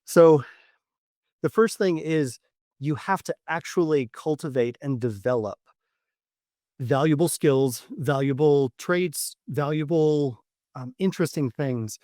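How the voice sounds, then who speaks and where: A man speaking as a dating coach and podcast host, giving instructional advice in deliberate, measured phrasing with repeated words. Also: on the high side, mellow, no room sound, studio quality. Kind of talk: instructional podcast monologue to camera.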